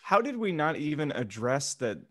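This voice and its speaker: A man's voice: a little high, very animated, normal speed, dry recording, studio quality. A man co-hosting a podcast in a casual, jokey, rambling group chat. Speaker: podcast co-host.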